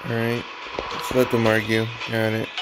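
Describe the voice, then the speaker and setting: A man's voice: fairly low pitch, completely flat delivery, moderate pace, music in the background, low-quality recording. A man giving casual gameplay commentary, with offhand muttered reactions and bits read aloud. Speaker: gaming streamer.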